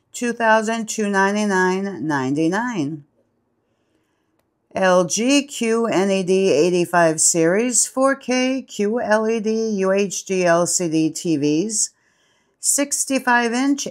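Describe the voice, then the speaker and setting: A woman hosting her channel, steadily reading product names and sale prices off a printed flyer. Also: fairly low pitch. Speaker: channel host.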